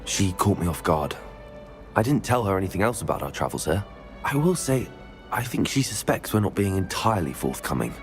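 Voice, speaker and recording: A man's voice: low voice, very animated, brisk, faint music behind. An actor playing an earnest, polite young male student of magic in a video game, speaking. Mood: guarded. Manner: polite, earnest, a little guarded.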